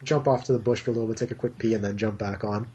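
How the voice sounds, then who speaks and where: A man's voice: fairly low pitch, steady tone, rapid-fire, dark tone, husky, muffled recording. A Canadian man chatting casually over a voice call, rambling, laughing often and swearing freely. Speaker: guest YouTuber. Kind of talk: casual Skype chat. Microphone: computer mic on a voice call.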